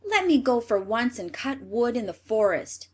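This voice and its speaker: A woman's voice: a little high, sing-song, normal speed. A woman voicing a simple, kind-hearted young man in a storybook, earnest and open. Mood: eager, generous.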